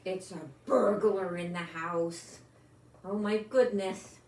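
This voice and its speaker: An older woman reading a children's picture book aloud, expressively, with gentle exclamations and asides to young listeners. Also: mid-range voice, steady tone, slow pace. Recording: echoey room with some background noise.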